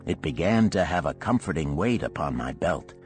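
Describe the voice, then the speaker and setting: Deep guy reading an old parable book, scripted narration, faint music underneath. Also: big pitch swings, moderate pace, a little mellow. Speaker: audiobook narrator.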